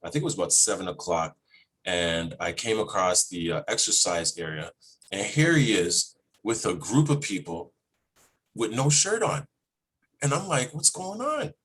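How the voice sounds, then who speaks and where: Low, husky-voiced male podcast host. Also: expressive, normal speed, fairly bright tone. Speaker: podcast host interviewing a guest. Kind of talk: casual conversational interview.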